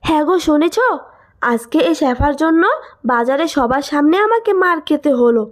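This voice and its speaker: A woman's voice: high-pitched, normal speed, slight background noise, close to an everyday voice. A woman voicing a greedy, scheming village aunt in a cartoon melodrama, with a wheedling, spiteful manner.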